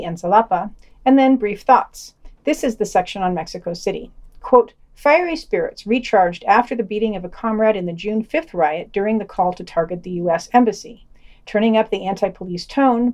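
A woman's voice: medium pitch, darkish tone. A woman reading a scripted news digest and quotes for a podcast, with dry, slightly pedantic wry commentary.

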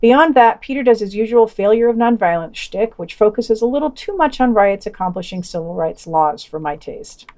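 A woman's voice: mid-range voice, lively intonation, moderate pace, hardly any pauses, darkish tone. A woman presenting a podcast, reading scripted reviews with wry, informal, opinionated asides.

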